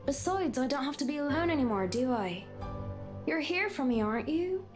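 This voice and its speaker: High-voiced, possessive ghostly lover. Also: female voice, lively intonation, normal speed. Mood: tender, possessive. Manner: coaxing, possessive. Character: clinging, coaxing dead lover, a young woman. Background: game music.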